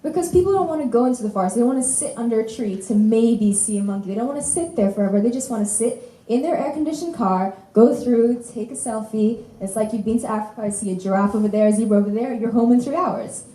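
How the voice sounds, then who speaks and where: A teenage girl presents a prepared talk at a conference with an earnest, mellow delivery. Her voice echoes around a large hall. Now and then she trips over a word and corrects herself. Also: fairly high pitch, fast. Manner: earnest, occasional stumbles and self-corrections. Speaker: student presenter. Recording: roomy hall echo.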